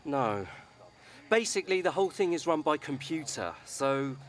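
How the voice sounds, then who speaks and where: A man's voice: on the high side, big pitch swings, moderate pace. An actor playing a cheeky young man at his family's garage, in a radio soap. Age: young adult. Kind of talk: radio soap opera acting.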